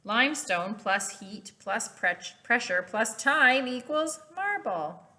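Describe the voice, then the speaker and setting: A woman reading a children's picture book aloud like a teacher, expressively, pausing to explain words. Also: on the high side, expressive, sharp tone, slight background noise.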